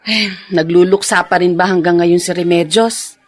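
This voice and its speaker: An actress playing a chatty, good-natured market vendor in a Filipino drama, teasing and familiar. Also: female voice, on the low side, steady tone, normal speed, lightly acted. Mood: teasing, friendly. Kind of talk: Filipino radio-style drama acting.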